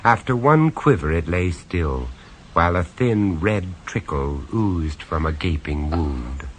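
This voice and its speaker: A man narrating a radio horror story in the first person, measured and dramatic, with dry sarcasm when he speaks to the others. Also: low-pitched, lively intonation, slight background noise. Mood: grave. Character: grave, wry male storyteller on a castle tour.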